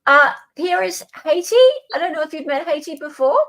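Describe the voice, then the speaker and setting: A woman's voice: sits high, fairly even intonation, fairly quick, crowd noise. A woman hosting a livestream in a casual, conversational way. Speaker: livestream host. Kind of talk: casual chat.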